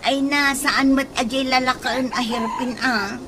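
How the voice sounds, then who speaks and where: A woman's voice: sits high, steady tone, a little slow, sharp tone, a little hiss or hum. A woman playing a fussy, proud mother in an Ilocano radio comedy, drawling and exclaiming.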